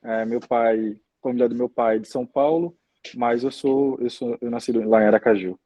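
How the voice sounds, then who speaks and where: Husky young Brazilian priest. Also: male voice, mid-range voice, steady tone, mellow, dry recording, highs cut off. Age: 20s. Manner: measured, many "né?" tags. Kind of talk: interview answers.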